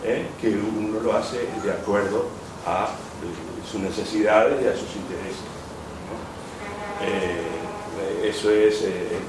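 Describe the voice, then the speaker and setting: A man's voice: on the low side, slow. Guy giving a lecture, lots of "eh"s and restarts, big echoey hall, mic kinda far, some noise behind. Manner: discursive, rephrases often, many "eh" fillers. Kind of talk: academic lecture.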